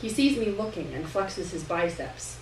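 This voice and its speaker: A woman giving an expressive, dramatic first-person reading of a story at a live literary event. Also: medium pitch, moderate pace. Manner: dramatic delivery, acting out dialogue. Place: indoor room at a live reading. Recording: roomy, distant live recording with noise.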